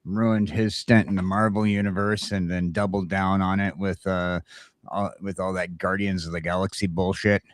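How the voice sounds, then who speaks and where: A man's voice: low-pitched, little pitch movement, moderate pace, no echo, studio quality. A man co-hosting a casual chat show, blunt and opinionated, stammering into restarts. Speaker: talk show co-host.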